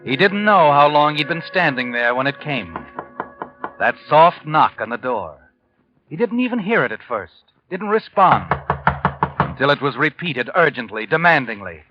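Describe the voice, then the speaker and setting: Sinister male narrator, 1940s radio mystery, dramatic, highish voice, dull old recording. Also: very animated, moderate pace, over music. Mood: tense, hushed.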